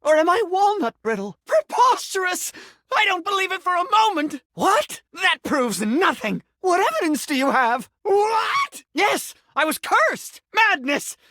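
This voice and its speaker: A man's voice: very high-pitched, very animated, measured pace, sharp tone, dry recording, clean studio sound, heavily acted. A wildly swooping, pompous male talking sink. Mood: indignant. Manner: pompous, melodramatic, formal diction. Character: deluded talking male sink, theatrical, pompous claims adjuster. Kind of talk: video game character acting.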